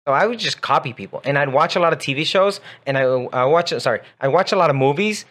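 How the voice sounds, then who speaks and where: A man's voice: fairly high pitch, lively intonation, fast talker, a bit bright. A man whose first language is Spanish co-hosting a casual chat about learning English, easygoing, reading out listener questions. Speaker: co-host, English teacher.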